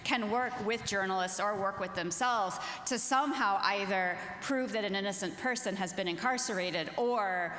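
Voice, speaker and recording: A woman's voice: medium pitch, moderate pace, hardly any pauses, fairly bright tone. A woman speaking as an author and journalist on a panel, in an earnest, informal, conversational way. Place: large reverberant festival venue. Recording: echoey hall with long reverb.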